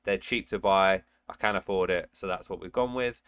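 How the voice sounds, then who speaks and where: Casting: young man, nasal from a cold, lowish voice, gaming commentary with sudden excited shouts. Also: moderate pace, clear, resonant tone, no echo. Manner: excitable outbursts during play, muttering between. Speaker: gaming channel host. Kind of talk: casual gameplay commentary. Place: indoor room at home.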